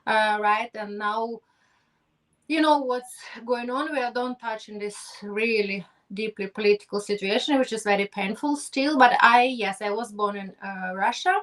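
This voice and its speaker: A woman's voice: mid-range voice, normal speed, fairly bright tone. A woman from Ukraine, speaking as a guest in an effusive, grateful way with phrasing. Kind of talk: conversational interview. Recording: distant from the mic.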